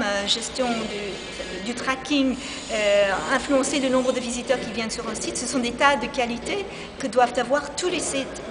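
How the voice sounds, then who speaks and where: A woman with a slight foreign accent, talking energetically about her field in an improvised interview, with frequent restarts. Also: a little high. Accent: slight foreign accent in French. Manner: energetic, enthusiastic, with many restarts. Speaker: interviewee, e-health specialist. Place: busy convention hall. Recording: reverberant hall with loud ambient noise.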